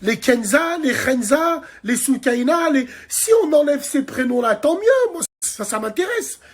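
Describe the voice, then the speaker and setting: A man in his forties from France, ranting to camera from a dark bedroom at night on his phone. He is heated and crude, hurls insults and speaks straight to his viewers. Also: very high voice, sing-song, measured pace. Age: 40s. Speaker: social media commentator. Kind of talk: angry political rant to camera. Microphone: phone held at arm's length.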